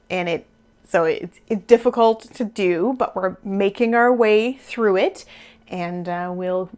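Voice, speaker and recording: A woman in her thirties or forties hosting a knitting podcast, chatting casually and rambling with small laughs, her voice a little worn. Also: on the low side. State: losing her voice a bit. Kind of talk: casual chat to camera.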